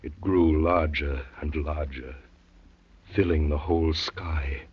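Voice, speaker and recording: An actor playing an earnest, haunted poet recounting a vision, husky, dramatic and literary, in 1930s radio drama style. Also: male voice, extremely low pitch, fairly even intonation, takes it slowly, exaggerated voice. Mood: awed, dread. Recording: muffled, lo-fi 1930s radio recording.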